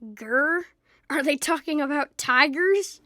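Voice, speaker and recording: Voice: sits high, animated, takes it slowly, sharp tone, dry recording, full character voice. A young performer playing a skeptical, blunt, sarcastic boy in a radio drama. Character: skeptical, wisecracking boy named Jimmy. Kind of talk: old-time radio drama acting.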